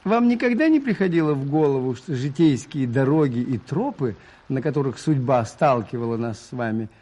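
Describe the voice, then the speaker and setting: An actor playing a humble, shrewd, gentle priest in a radio play, modest, hesitant and thoughtful, with a husky voice. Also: male voice, mid-range voice, expressive, normal speed, natural voice. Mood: reflective. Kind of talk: Soviet radio play acting.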